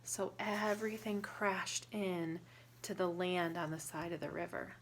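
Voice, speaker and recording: A woman reading a picture book aloud to children in a teacherly way, pausing to ask questions and explain words simply. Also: lowish voice, fairly even intonation, background noise.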